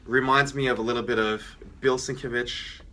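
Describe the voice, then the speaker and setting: A man's voice: medium pitch, steady tone, moderate pace, pushed and bright. A man co-hosting a fan show, chatting casually and a little hesitantly as he shows off his haul. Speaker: co-host of a comics fan show. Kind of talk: casual show-and-tell chat. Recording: noisy room ambience.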